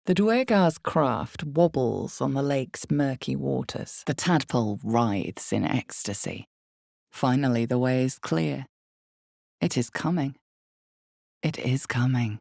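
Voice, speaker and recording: A woman playing the ominous, all-knowing narrator of a dark fantasy game, speaking in a hushed, measured, portentous way with a low husky voice. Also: no echo, clean studio sound, heavily acted. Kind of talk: dramatic second-person fantasy game narration.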